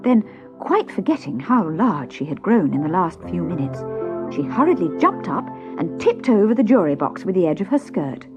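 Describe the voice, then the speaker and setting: A woman tells a children's story with very lively, expressive intonation at a middle pitch. Music plays behind her, and the recording is slightly dull, like an old cassette. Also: normal speed. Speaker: story narrator.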